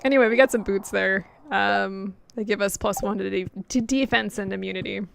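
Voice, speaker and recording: A young adult woman streaming a game, chatting casually with lots of fillers, her voice getting hoarse after hours of talking. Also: mid-range voice, fairly even intonation, brisk, a little hiss or hum. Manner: chatty, rambling, many fillers and laughs. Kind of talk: casual chat while gaming.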